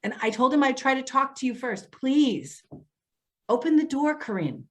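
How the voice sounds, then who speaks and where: A woman's voice: high-pitched, lively intonation, normal speed. A woman playing a patient, concerned social worker in a readers theater drama, speaking in an earnest, coaxing way. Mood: urgent, worried. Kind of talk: readers theater stage acting.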